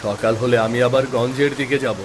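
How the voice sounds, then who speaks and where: A man's voice: mid-range voice, steady tone, brisk, natural voice. A man voicing a poor, devout village father in a moral-story cartoon, earnest and reassuring. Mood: consoling, hopeful. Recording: clear rain noise behind.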